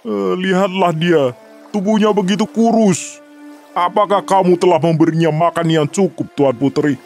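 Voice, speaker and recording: A man's voice: high voice, moderate pace, darkish tone, soft background music. A man playing an arrogant, mocking foreign challenger in a children's folktale drama, taunting and boastful.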